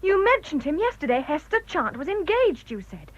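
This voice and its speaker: An actress playing an outspoken, exasperated wife who reasons things out, in a radio drama. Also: female voice, high-pitched, very animated, normal speed, no room sound. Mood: insistent. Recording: dull lo-fi radio recording.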